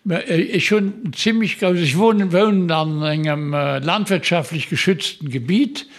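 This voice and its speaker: A man's voice: on the high side, unhurried. A man, joking and rambling through stories with many fillers. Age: 80s. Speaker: guest. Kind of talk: casual storytelling chat.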